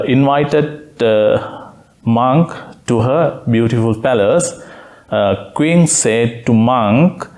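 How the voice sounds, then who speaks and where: A Buddhist monk from Sri Lanka giving a Dhamma talk, speaking in simple phrases and pausing often with "uh" between words. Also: male voice, medium pitch, gravelly, darkish tone. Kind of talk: slow, halting Dhamma talk with many fillers. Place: meditation center room.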